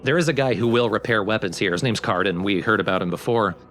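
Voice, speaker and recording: A man's voice: fairly low pitch, quick pace, fairly bright tone, soft background music. A man hosting a let's play with casual, explanatory game commentary.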